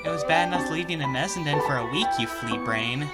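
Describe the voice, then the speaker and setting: A woman voicing a spirited, bossy young apprentice healer cat in an excitable, sassy fan comic dub performance. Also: sits low, quick pace, close to an everyday voice. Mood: teasing, bossy. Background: background music.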